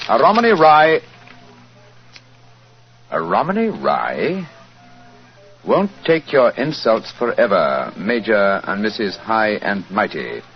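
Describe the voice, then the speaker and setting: An actor playing a self-assured, courteous English gentleman detective in 1940s radio drama, formal, with an upper-class accent. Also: fairly low pitch, sing-song, takes it slowly, pauses often, faint music behind, full character voice. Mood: slow reading. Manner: crisp, formal, politely probing. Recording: muffled 1940s radio recording.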